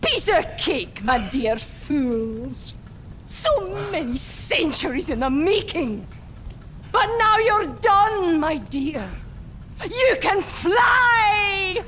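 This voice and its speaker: A woman's voice: extremely high pitch, very animated, very slow, a bit bright, slight background noise, heavily acted. An actress playing an ancient, eccentric female master forger in a video game, haughty, teasing and theatrical. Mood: gleeful, showy. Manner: theatrical, mocking. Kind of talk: video game cutscene acting.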